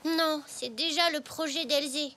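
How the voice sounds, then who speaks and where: A woman playing an eager, cheerful little boy zebra in a children's cartoon, excitable and curious. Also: high voice, measured pace.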